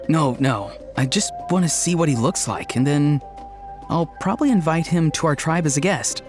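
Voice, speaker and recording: A man's voice: fairly high pitch, expressive, normal speed, over music, close to an everyday voice. Actor as an earnest, easygoing young tribesman. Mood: earnest, eager. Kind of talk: video game character acting.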